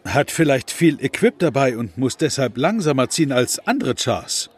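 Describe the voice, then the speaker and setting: Man acting as a laid-back young gamer, mid pitch, lively intonation, comedy audio drama. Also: normal speed, husky. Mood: musing.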